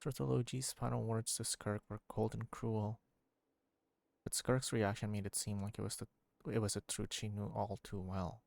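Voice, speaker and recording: Male streamer, casual and musing: gravelly, lowish voice, very dry close sound. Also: little pitch movement, moderate pace, a little mellow, no room sound. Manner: musing, thinking aloud. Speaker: game streamer. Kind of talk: casual live-stream commentary.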